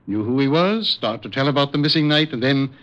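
A patient, dryly amused psychiatrist played by a male radio actor. His mid-range voice is bright and firm, with measured, formal phrasing. It comes through a dull, lo-fi old radio drama recording. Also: medium pitch, lively intonation, lightly acted. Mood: earnest, explanatory. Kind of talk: 1950s radio drama acting.

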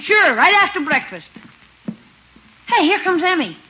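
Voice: very high voice, big pitch swings, unhurried, pushed and bright, full character voice. An actor playing an eager, cheeky young schoolboy with slangy, exclaiming delivery in a 1950s radio comedy. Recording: muffled, slightly noisy 1950s radio recording.

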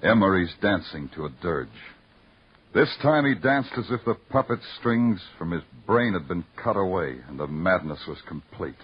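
Deep-voiced, hard-boiled American detective. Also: male voice, expressive, breathy edge. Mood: brooding narration. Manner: terse, deliberate, poetic in narration. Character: hard-boiled, weary, brooding male police detective on night shift. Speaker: lead actor and first-person narrator. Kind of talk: 1950s radio crime drama acting. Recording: dull old radio recording, loud music.

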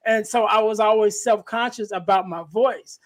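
Soft-voiced man, high and light. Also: fairly even intonation, normal speed. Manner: reflective, affirming. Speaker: podcast host. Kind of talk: casual podcast interview.

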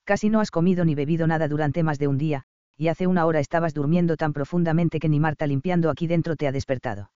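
A man's voice: fairly high pitch, fast talker, dark tone, clear, resonant tone, no room sound, natural voice. A man voicing a bossy, teasing young male doctor with dry, smug humor, read in a dull, dry recording. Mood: stern. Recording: slightly dull dry audio.

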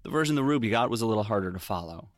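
A man's voice: fairly low pitch, animated, very fast, no room sound, studio quality, natural voice. An actor playing a wry, world-weary private detective narrating his case in an audio drama. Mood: wry, brooding. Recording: guitar music under the voice. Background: plucked guitar music.

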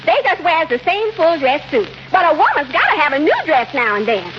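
An actress playing a demanding, nagging wife with insistent, folksy, ungrammatical speech in an old radio comedy. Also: female voice, high-pitched, very animated, brisk. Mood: insistent, complaining. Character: demanding, nagging wife, set on getting her way. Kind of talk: 1940s-50s radio comedy acting. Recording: noisy, muffled old radio broadcast.

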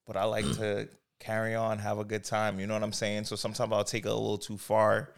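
A man from a Haitian-American family, co-hosting a casual podcast chat and telling his story in a relaxed, steady way. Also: fairly low pitch, fairly quick, gravelly. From United States, Haitian family. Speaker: podcast co-host.

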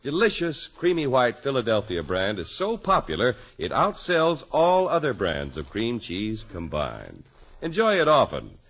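Swooping, husky 1950s radio announcer man. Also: medium pitch, wildly swooping pitch, measured pace, fairly bright tone. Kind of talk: scripted 1950s radio announcing and sponsor advertisement. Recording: muffled vintage radio recording with music.

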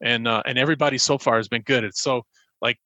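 A man's voice: mid-range voice, steady tone, fast talker, pushed and bright, dry recording. A man co-hosting a podcast, chatting casually in a rambling, self-deprecating way. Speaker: podcast co-host. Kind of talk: casual chat.

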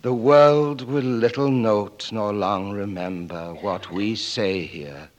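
Radio drama valet: English, loyal, polite, torn, unhurried and measured. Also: male voice, lowish voice, muffled recording. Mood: solemn recitation. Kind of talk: 1940s radio acting.

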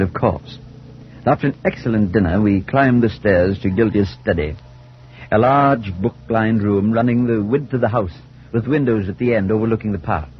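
An actor playing an earnest, compassionate priest, speaking in a measured way in a 1940s radio drama. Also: male voice, medium pitch, lightly acted. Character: earnest, compassionate male priest narrating his tale. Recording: noisy, dull 1940s radio recording.

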